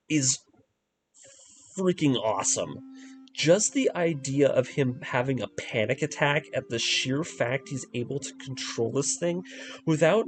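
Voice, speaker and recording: A man giving enthusiastic video essay commentary and recaps, with an emphatic, casual fan delivery. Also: mid-range voice. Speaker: video creator reviewing an anime radio drama. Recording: voice-over with prominent music underneath. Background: music.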